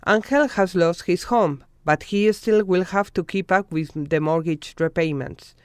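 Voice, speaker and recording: A documentary narrator reading a scripted news report in a measured, formal way from a studio voice-over. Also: mid-range voice, smooth, dry recording.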